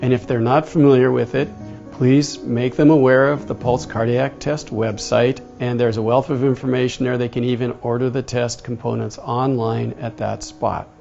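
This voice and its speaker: A man's voice: mid-range voice, level tone, normal speed, creaky, a little mellow. A man, a doctor, earnestly explaining a medical test in a TV interview. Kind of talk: TV interview answers. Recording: dull lo-fi TV audio with faint music.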